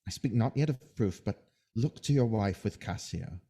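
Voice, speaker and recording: An actor playing a scheming soldier who feigns honesty, in measured, insinuating Shakespearean verse for an audio drama. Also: male voice, fairly low pitch, lively intonation, warm tone, breathy edge. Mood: falsely loyal.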